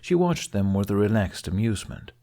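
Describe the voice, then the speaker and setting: A man's voice: fairly low pitch, big pitch swings, a little fast, mellow. A man reading scripted fiction aloud as an audiobook narrator.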